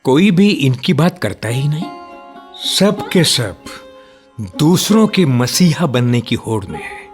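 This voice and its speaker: An actor in a radio play playing an earnest, outspoken nationalist man who debates firmly but respectfully, in deliberate, declamatory phrases. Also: fairly high pitch, big pitch swings, faint music behind. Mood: indignant.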